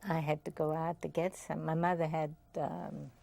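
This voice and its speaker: An older woman with a Viennese German accent and German as her first language. She speaks in a soft, reflective way, with small laughs. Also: deep voice, level tone, moderate pace, vocal fry. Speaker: interviewee. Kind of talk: oral history interview.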